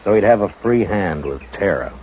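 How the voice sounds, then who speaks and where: A stern frontier marshal with a very deep voice that stays calm, measured and in command. He gives orders plainly and without fuss. Heard through a muffled old radio recording with light hiss. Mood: steady. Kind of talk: 1950s radio drama acting and narration.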